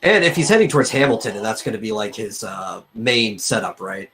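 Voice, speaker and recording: A man's voice: on the low side, lively intonation, brisk, fairly bright tone. Analytical man in casual conversation. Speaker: live stream co-host. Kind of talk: casual chat.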